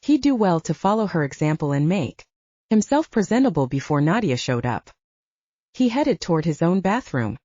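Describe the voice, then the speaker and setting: A female audiobook narrator reading scripted fiction. Her voice is mellow, with a widely varied, lively intonation. The sound is close and dry, though a little dull. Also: on the low side, normal speed, no room sound.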